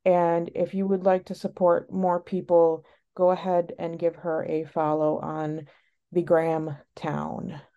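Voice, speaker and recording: A woman's voice: fairly low pitch, monotone, unhurried, dark tone, highs cut off. A woman co-hosting a podcast in casual, rambling, frank chat, sounding a little sniffly. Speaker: podcast co-host.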